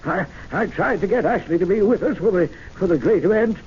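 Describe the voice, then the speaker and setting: High-pitched, stammering, excitable club gentleman. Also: fairly quick. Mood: nervous, flustered. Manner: stammering, agitated. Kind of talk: old-time radio drama acting. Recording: dull lo-fi radio recording with hiss.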